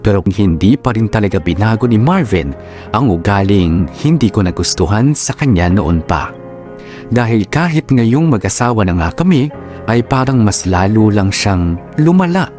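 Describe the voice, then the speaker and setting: A man with a Filipino accent narrating a wife's sentimental love story in the first person, in a deliberate radio drama style. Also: lowish voice, animated, darkish tone, soft background music, close to an everyday voice. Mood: reflective. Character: aggrieved wife recounting her marriage.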